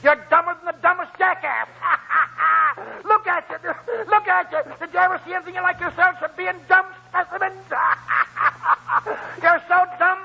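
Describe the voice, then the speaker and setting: An actor playing a wily, scornful old American gold prospector in a 1940s radio drama, with a raspy, creaky voice and folksy rambling talk. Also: male voice, very high-pitched, measured pace, sharp tone, exaggerated voice, rough recording. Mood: gleeful, mocking. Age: older.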